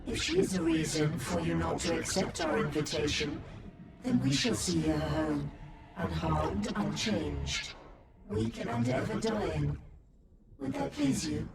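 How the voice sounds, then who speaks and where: An actor voicing a cold, courteous inhuman creature in a video game, speaking in a formal, measured, detached and ominous way. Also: mid-range voice, big pitch swings, vocal fry, over loud music. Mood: courteous menace. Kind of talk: video game cutscene acting.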